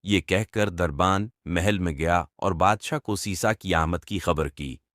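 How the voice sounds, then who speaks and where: A man narrating a scripted children's story as an expressive storyteller. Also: low-pitched, a little slow, no room sound, studio quality.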